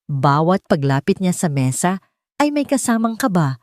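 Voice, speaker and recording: A woman's voice: fairly low pitch, big pitch swings, moderate pace, a little mellow, dry recording. A woman reading a scripted, dramatic story as an audiobook narrator.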